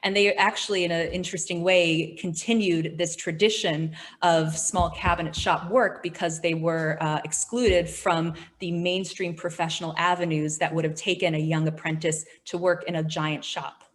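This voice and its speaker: A woman on a museum panel, answering audience questions in measured, scholarly explanations. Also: fairly low pitch, fairly even intonation. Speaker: furniture historian answering questions.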